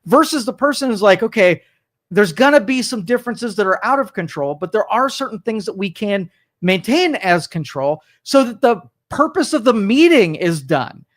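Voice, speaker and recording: Guy, high voice, rambling political rant on a studio talk show, lots of restarts. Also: lively intonation, moderate pace. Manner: rambling, argumentative, many restarts and mock quotes.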